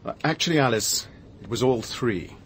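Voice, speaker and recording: An actor playing a shaken, wry British man in a radio drama, telling his story in a measured, dry, hesitant way. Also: medium pitch, fairly bright tone, lightly acted. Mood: grave, shaken. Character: shaken, wry Englishman recounting finding a murder victim. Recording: radio drama with faint background noise.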